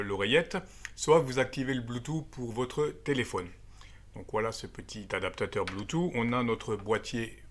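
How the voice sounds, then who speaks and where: A man presenting a gadget in a casual, hands-on way, explaining it step by step. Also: medium pitch. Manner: explanatory, step by step, with frequent tag words. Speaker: amateur radio video presenter. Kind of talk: casual hands-on product demonstration.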